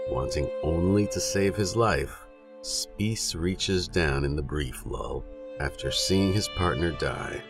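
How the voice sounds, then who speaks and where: Very deep, creaky male storyteller. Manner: measured, dramatic storytelling. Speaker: audiobook narrator. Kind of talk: scripted literary narration of a western novella.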